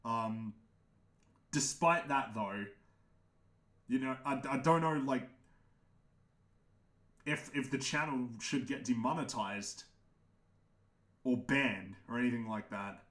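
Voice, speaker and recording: A young man with a naturally deep voice rambles on a livestream, blunt and opinionated, tripping over his words and laughing now and then. His voice sits at an ordinary pitch for a man. The room around him echoes noticeably. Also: slow pace, long pauses, a bit bright. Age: young adult. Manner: opinionated, frank, swears, frequent restarts; laughs often. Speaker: livestream host answering chat.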